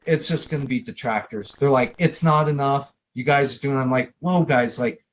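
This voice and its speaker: A man's voice: mid-range voice, moderate pace. A man debating online in an informal, emphatic, argumentative conversational way. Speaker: debate participant. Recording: clear background noise.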